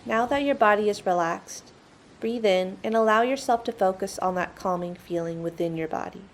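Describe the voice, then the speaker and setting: A woman's voice: medium pitch, moderate pace, slight background noise. A woman leading a guided meditation in a soothing, instructional manner.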